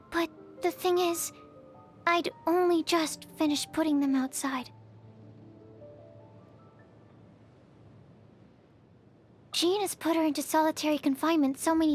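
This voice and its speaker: A woman's voice: sits high, close to an everyday voice. A woman voicing a shy, anxious, earnest young alchemist in a video game, with a timid, hesitant, bookish manner. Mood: shy, mildly anxious. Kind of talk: video game character voice lines. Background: music.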